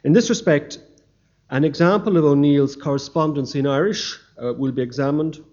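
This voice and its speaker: Guy reading out a history paper, formal and measured, kinda muffled lo-fi sound. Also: a little high, a little mellow. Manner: measured, formal delivery with occasional stumbles. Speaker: history lecturer. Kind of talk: formal academic lecture read from a paper.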